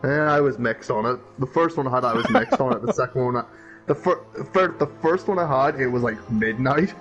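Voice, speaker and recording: Rambling, halting man, muffled lo-fi mic. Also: medium pitch, a bit bright, soft background music. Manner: halting, rambling anecdote with restarts. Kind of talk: casual online chat.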